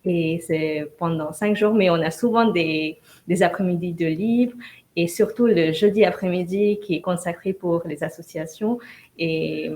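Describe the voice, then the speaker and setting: Young woman, student, fairly low voice, steady and informative answers. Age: young adult. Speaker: first-year business student. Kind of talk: live interview answers.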